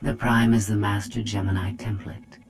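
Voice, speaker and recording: A woman's voice: a bass voice, fairly even intonation, moderate pace, noisy background. A woman voicing a cold, superior female droid in a video game, precise and detached, with synthetic processing. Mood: cold, clinical. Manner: precise, detached, clinical, condescending.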